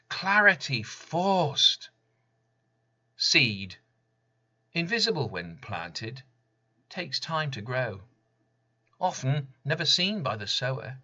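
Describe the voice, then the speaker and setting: Middle-aged male poet, spoken word: slow, clipped, emphatic lines, intense sharp tone, slightly muffled recording. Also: lowish voice. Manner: measured, emphatic delivery in short clipped phrases. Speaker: poet reading own work. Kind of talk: spoken-word poetry reading.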